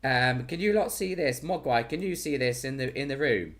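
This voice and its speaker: A British man with a hoarse, worn voice, chatting casually as the host of a live stream. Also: medium pitch, expressive, moderate pace, fairly bright tone. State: hoarse from losing his voice.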